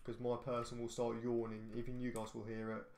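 Man, rambling casual commentary, flat pitch, roomy echo. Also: a little fast, a little hiss or hum. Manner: rambling, hesitant, lots of fillers and restarts. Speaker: gaming YouTuber. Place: echoey bedroom.

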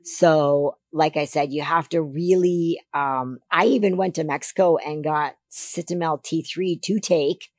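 A woman in her forties from Canada, a podcast guest, talking emphatically about health in a casual interview into a boom mic in a studio. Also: unhurried, hardly any pauses, dry recording. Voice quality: deeper voice than she once had. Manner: emphatic, animated advice-giving. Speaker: podcast guest, figure competitor. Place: studio set on a sofa. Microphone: boom mic on a stand.

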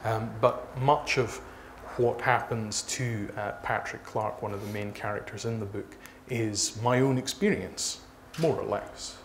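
A man in his thirties speaking haltingly as an author being interviewed on stage. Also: lowish voice. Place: small dark stage before a seated audience. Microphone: lapel mic. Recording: noisy live event room recording.

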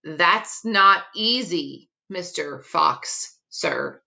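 Flustered, bright-voiced storybook creature played by a woman. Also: fairly low pitch, lively intonation, very slow, creaky, pitched below the performer's own voice, heavily acted. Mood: complaining. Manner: pleading, tongue-tied protesting. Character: flustered, complaining male storybook creature, polite but weary. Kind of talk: children's picture book read-aloud.

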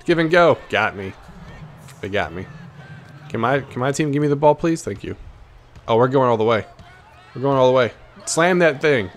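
An American man with a mid-range voice hosts casual gameplay commentary. He is excitable and playful, tossing out trash talk and dropping into muttered asides. Faint basketball game noise runs behind him. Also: medium pitch, moderate pace, long pauses. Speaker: gaming video host. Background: basketball video game sounds.

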